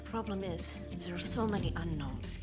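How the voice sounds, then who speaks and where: A woman's voice: fairly low pitch, lively intonation, moderate pace, natural voice. A woman playing a blunt resistance fighter. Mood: skeptical. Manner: blunt, skeptical. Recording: game cutscene with loud music.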